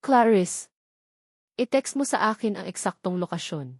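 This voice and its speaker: A woman's voice: mid-range voice, lively intonation, normal speed, no echo. Stern rich male boss for romance audio drama played by a woman, clipped, menacing, protective, dry close sound. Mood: cold anger. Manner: stern, authoritative, clipped commands.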